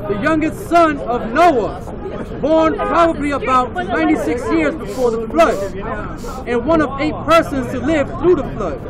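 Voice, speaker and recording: Very high-voiced man reading aloud outdoors. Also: moderate pace, rough recording. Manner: reads aloud plainly. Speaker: reader of a reference book. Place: outdoors on a city street. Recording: loud street crowd behind.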